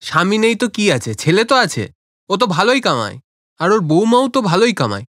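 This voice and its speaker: A man's voice: sits high, big pitch swings, a little fast, fairly bright tone, no room sound, clean studio sound. A man voicing a blunt, irritated young adult son in a cartoon story, grumbling and dismissive. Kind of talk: animated moral story cartoon dialogue.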